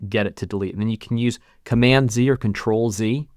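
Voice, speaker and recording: A man teaching as a channel host, casually narrating a step-by-step music software tutorial and talking through each click. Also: lowish voice, a little fast, no room sound, studio quality.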